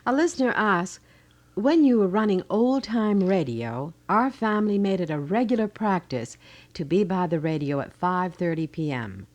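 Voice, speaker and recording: A woman's voice: lowish voice, animated, moderate pace, a little mellow. A woman with an American accent co-hosting a live radio program, reading aloud and prompting in a polite conversational way. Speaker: radio co-host. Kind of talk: live radio co-hosting, reading listener letters and asking questions. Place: radio studio.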